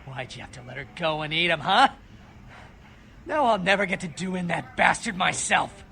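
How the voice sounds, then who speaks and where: A man's voice: fairly high pitch, animated, normal speed, creaky, bright, forceful tone, a little hiss or hum, full character voice. An actor playing a bitter, crude, petulant male blood dealer in a video game, with a whiny, aggrieved delivery. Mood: petulant, angry.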